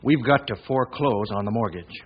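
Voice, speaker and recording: An American actor playing a troubled small-town banker in old-time radio drama style, speaking gravely, deliberately and earnestly, with a slightly husky voice. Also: male voice, mid-range voice, natural voice. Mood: tense, troubled. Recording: muffled old radio recording.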